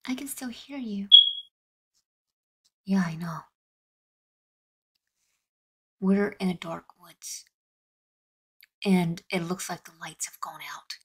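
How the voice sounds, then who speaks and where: Woman acting a husky, hesitant cartoon teen. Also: fairly low pitch, lively intonation, slow pace, pauses often, a little mellow, exaggerated voice. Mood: teasing. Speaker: amateur voice performer. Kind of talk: fan-made cartoon audio drama acting.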